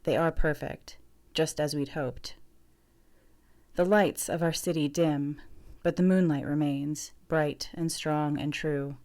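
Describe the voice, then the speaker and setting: A woman's voice: low voice, fairly even intonation, slow pace, a little mellow. A woman giving a scripted reading of lyrical, literary prose. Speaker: reader of a literary piece.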